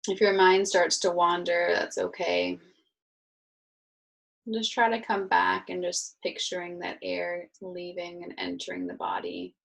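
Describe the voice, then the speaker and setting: A woman leading a guided meditation as an instructor, speaking soothingly and informally in gentle, unhurried instructions. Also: fairly low pitch, expressive, creaky, rough recording.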